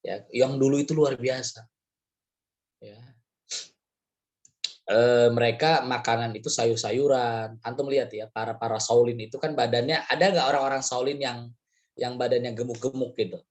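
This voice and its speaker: A hoarse man, his voice rough from a cold, lectures on faith and culture in a loose, conversational way. He circles back often and leans on short filler words. His pitch sits in an ordinary middle range. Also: steady tone, normal speed, long pauses, a little mellow. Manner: chatty, rambling, frequent "ya" fillers. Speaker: Islamic study speaker. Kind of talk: casual religious lecture.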